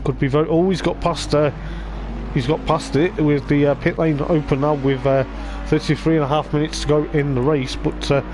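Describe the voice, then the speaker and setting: Man, hoarse, race commentary, rambling with fillers, noisy audio. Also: a little high, steady tone, normal speed. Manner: rambling, filler-heavy, hesitant. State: voice going hoarse. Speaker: sim racing league commentator. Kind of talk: live race commentary on a stream.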